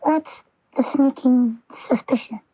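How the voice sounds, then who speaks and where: Slow, high-voiced lovelorn young woman. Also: husky, no echo. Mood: earnest. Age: young adult. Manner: slow, pleading, earnest. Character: earnest, lovelorn young woman, intense and vulnerable. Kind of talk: 1980s radio drama acting and narration. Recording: dull lo-fi 1980s AM radio recording.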